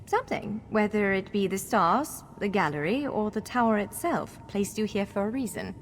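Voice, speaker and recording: A woman's voice: medium pitch, expressive, moderate pace, a little hiss or hum, lightly acted. A woman playing an earnest, encouraging female elf scholar who guides a hero in a fantasy video game. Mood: friendly. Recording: long hall reverb, faint music.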